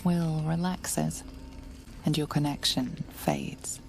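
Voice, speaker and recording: Grave female fantasy game narrator, very low, gravelly, measured, hushed. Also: big pitch swings, soft background music, full character voice, low-quality recording. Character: solemn, watchful female storyteller guiding an adventurer.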